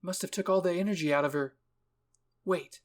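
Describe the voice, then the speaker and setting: A man voicing an excitable, stubborn scientist woman who thinks aloud eagerly, in an anime fan-fiction reading. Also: high-pitched, normal speed, voice pushed up, close to an everyday voice. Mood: excited musing. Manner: breathless enthusiasm, thinking aloud.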